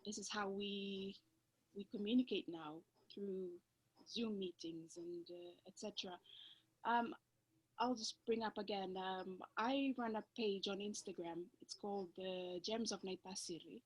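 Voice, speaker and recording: A woman's voice: medium pitch, a little slow, husky, rough recording. A woman from Fiji with a Fijian accent, whose first language is Fijian, speaking as a panelist in a community discussion over a video call, thoughtful and hesitant with many filler sounds.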